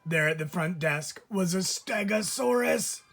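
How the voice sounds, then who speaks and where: A man, a teacher, reading a children's picture book aloud with lively, expressive storytelling. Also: high-pitched, measured pace, vocal fry, faint music behind.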